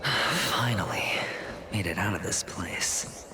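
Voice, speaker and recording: A man playing a weary, guarded young gambler in a game cutscene, breathy and fatalistic. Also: lively intonation, slow pace. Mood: weary, hushed. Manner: low-key, breathy, slipping into whispers. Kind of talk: video game cutscene acting. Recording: loud background noise.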